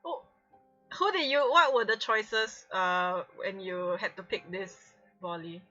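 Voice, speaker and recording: A woman's voice: medium pitch, a little slow, bright, forceful tone, lo-fi sound, soft background music. A woman hosting a live group stream in casual chat.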